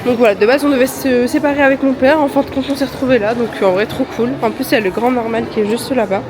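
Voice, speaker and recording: A woman's voice: on the high side, steady tone, quick pace, noisy background. A young woman with a head cold chatting casually to her phone camera for a vlog, upbeat and full of slang. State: congested with a cold. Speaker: vlogger. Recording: music playing under the voice.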